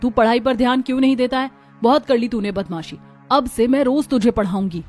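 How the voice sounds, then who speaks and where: A woman's voice: a little high, brisk, a little mellow, soft background music, close to an everyday voice. A woman voicing village women of a poor household in a cartoon story. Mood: scolding. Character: village women of a poor family, daughters-in-law and mother-in-law.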